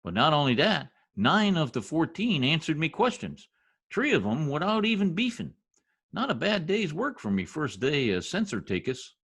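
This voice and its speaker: Man playing a gleeful, swooping, husky blowhard husband. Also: fairly high pitch, sing-song, normal speed, a bit bright, full character voice. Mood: boastful. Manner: folksy mangled grammar, teasing, chuckling, boastful. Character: gleeful needling blowhard husband, bungling new census taker. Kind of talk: 1950s radio comedy acting. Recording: remote video-call radio play recording.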